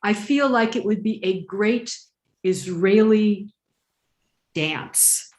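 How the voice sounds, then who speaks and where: A woman's voice: mid-range voice, takes it slowly, a little mellow. A woman congregant, the synagogue president, musing wryly on a video call. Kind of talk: casual chat.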